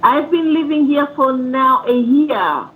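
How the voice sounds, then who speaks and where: Slow-speaking Mozambican woman caller. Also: high voice, lively intonation, a little hiss or hum, poor audio. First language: Portuguese. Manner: unhurried, careful English. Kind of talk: call-in conversation.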